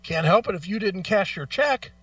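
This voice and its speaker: High-pitched man, blunt radio talk host. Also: animated, moderate pace, fairly bright tone, dry recording, distant mic, highs cut off. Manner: blunt, profane, exasperated, emphatic. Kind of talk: opinionated casual radio talk.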